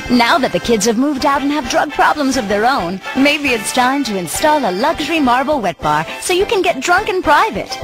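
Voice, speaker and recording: A slick advertising announcer, fairly high and very animated over music. She pushes the sale cheerfully, in the manner of a parody bank commercial. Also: moderate pace, few pauses. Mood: slick, upbeat.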